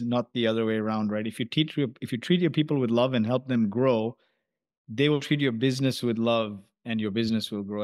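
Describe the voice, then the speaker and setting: A male podcast host eagerly agrees with his guest and winds up into lengthy questions. The sound is dry and close, with no room echo around his mid-pitched voice. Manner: enthusiastic, affirming, long wind-up questions. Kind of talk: podcast interview.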